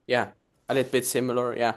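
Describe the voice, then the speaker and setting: A man's voice: medium pitch, completely flat delivery, fast talker. A young man from Poland, a Polish native speaker, answering interview questions hesitantly with many fillers and easy laughs. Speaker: guest.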